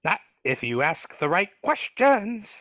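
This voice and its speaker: A man voicing a grumpy, gruff male dwarf gardener, speaking in a cagey, grumbling way over a video call. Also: sits high, sing-song, a little slow, bright, forceful tone, no room sound, clean studio sound, exaggerated voice. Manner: grumbling, cagey, rough working-class speech. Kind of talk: live dramatic reading over video call.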